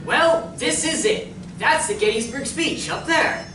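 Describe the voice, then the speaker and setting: Woman playing an eager schoolboy, lively and echoey. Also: high-pitched, measured pace, over music. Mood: thoughtful. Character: eager, honest, chatty schoolboy. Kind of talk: stage radio drama acting. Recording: echoey, distant, noisy live room.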